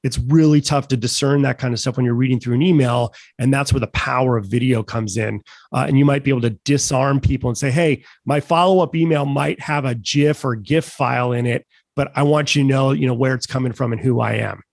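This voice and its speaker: Croaky man, mid pitch, talks sales in a relaxed, measured way with fillers. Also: dry recording. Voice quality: croaky, gravelly. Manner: measured, folksy, with many fillers. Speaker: sales panelist. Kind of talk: casual panel discussion.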